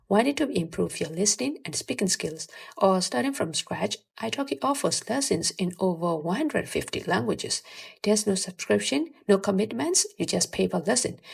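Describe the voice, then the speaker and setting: Young woman with very creaky voice. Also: mid-range voice. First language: Burmese. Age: early 20s. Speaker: language-learning vlogger. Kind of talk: scripted advice narration to viewers.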